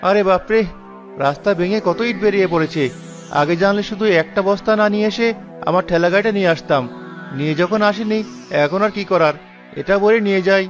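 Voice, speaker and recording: A man's voice: high voice, brisk, muffled recording, soft background music, natural voice. A man voicing a grumpy, boastful young penny-pinching villager in a Bengali cartoon, with an indignant, blustering delivery. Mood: calculating.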